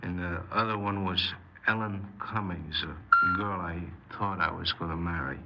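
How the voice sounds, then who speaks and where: A man's voice: sits low, wildly swooping pitch, a little slow, exaggerated voice. An actor playing a cold, embittered young man confessing his crimes in a 1950s radio crime drama, speaking tersely and guardedly. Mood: halting confession. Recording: noisy, muffled 1950s radio broadcast.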